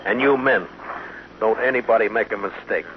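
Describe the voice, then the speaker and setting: An actor playing a stern, commanding marshal in an old-time radio western, speaking with firm authority. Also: male voice, lowish voice, moderate pace, lightly acted. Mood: menacing calm. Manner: authoritative, firm, clipped orders. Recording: dull vintage radio recording, faint noise.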